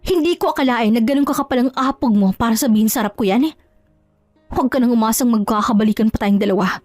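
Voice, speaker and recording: Over background music comes a high, closely recorded voice: a betrayed wife played by a woman in an audio drama. She is hurt and proud, scolding with scornful questions and resolute in her indignation. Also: quick pace, a little mellow, dry recording, lightly acted. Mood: indignant, scornful. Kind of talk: Tagalog audio drama acting.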